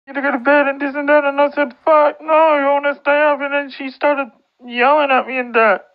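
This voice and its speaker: An upset speaker in their twenties vents in a casual, plaintive way. The phrasing is halting and repeats itself, with the odd swear word. The sound is dull, lo-fi walkie-talkie audio. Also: high voice, level tone. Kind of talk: casual venting over radio.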